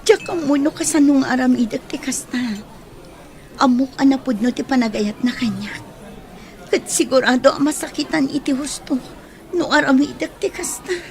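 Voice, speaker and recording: A woman's voice: high voice, moderate pace. An actress with a Filipino accent playing a guilt-ridden, self-sacrificing young woman in a radio drama. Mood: troubled, guilty.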